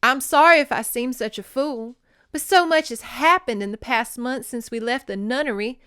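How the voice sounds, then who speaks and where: A woman's voice: a little high, moderate pace, sharp tone, clean tone, no room sound, clean studio sound, pitched above the performer's own voice. A woman voicing an earnest, dutiful young princess, nervous but resolute, in formal speech from a romance novel. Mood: anxious, resolute. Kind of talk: dramatic romance novel reading.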